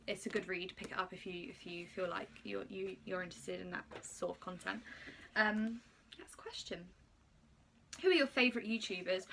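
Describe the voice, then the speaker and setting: Young woman, twenties, Polish first language, crisp bright voice, chatty vlog answers. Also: medium pitch, slight background noise. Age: 20s. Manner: chatty, reads questions aloud and answers with frequent ums. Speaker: YouTuber. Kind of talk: casual get-to-know-me Q&A.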